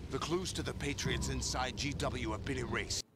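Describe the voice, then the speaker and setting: A man's voice: mid-range voice, moderate pace, background noise, lightly acted. An actor playing a grim, bitter older warlord and foster father in a video game, speaking in a solemn, menacing, theatrical way. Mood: grave, intense. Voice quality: gravelly. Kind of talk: video game cutscene acting. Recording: loud music under the voice. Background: loud game music.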